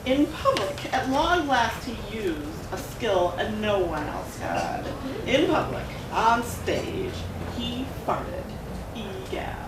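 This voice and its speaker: A woman author giving a dramatic, expressive read-aloud of a rhyming picture book at a gallery event. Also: mid-range voice, slow pace. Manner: expressive rhyming delivery with bouncy emphasis. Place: art gallery event room. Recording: echoey room, distant, noisy.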